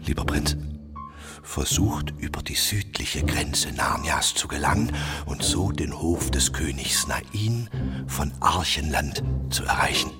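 A very low-voiced Swabian court tutor, learned and anxious, warns in urgent, breathy whispers. It is fantasy audio drama, with prominent music under the voice. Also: male voice, animated, a little slow. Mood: grave, tender. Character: anxious, learned royal tutor warning his prince.

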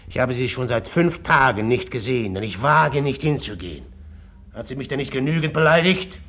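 A man's voice: mid-range voice, animated, brisk, a bit bright. An actor playing a volatile, jealous man tormented by love in a radio drama, speaking bitterly in brooding, broken phrases. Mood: jealous anguish. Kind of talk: classic German radio drama acting.

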